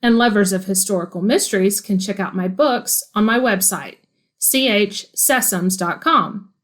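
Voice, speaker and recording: A woman's voice: medium pitch, moderate pace. A woman hosting a podcast, delivering scripted narration. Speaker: podcast host.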